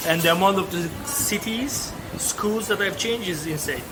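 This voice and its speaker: A man in his thirties with a Turkish accent, telling his story as a podcast guest in candid, halting English full of restarts. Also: high-pitched. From Turkey. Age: 30s. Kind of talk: casual interview chat. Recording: noisy ambient background.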